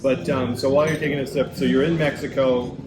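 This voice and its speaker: Male host, mid-pitched, playful questioner, echoey room, music bed. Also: fairly even intonation, quick pace. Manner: friendly joking interviewer. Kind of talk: casual interview.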